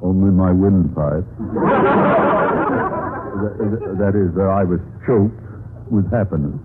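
An actor playing a pompous, blustering middle-aged school principal and henpecked husband, with a theatrical, stammering delivery in a 1950s radio comedy. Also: male voice, low voice, sing-song, slow pace, crowd noise, heavily acted. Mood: nervous, sarcastic. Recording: tinny, noisy vintage radio recording.

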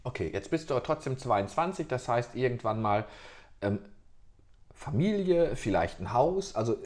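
A man's voice: medium pitch, animated, normal speed, a little mellow. A man interviewing a young singer, chatty and rambling, restarting his questions often. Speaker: interviewer, local journalist. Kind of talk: casual interview.

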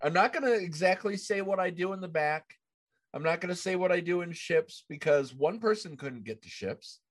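A man hosting a casual gaming podcast in a conversational style. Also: fairly high pitch. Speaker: podcast host.

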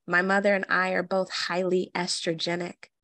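A young adult woman co-hosting a podcast in casual chat, animated and laughing often, speaking from the belly in a feminine voice. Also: lowish voice, moderate pace, dry recording. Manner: animated, laughs often, many fillers and restarts. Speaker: podcast co-host.

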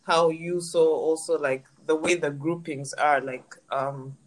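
A woman's voice: low-pitched, fairly even intonation, unhurried, poor audio. A woman hosting a podcast in casual chat, reading out definitions and laughing easily. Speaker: podcast host.

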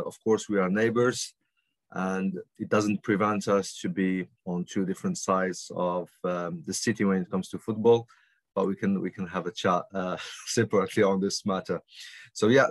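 Deep flat-voiced man with many pauses. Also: no echo. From Algeria. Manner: halting, many ums and restarts. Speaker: guest consultant. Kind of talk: podcast interview answers.

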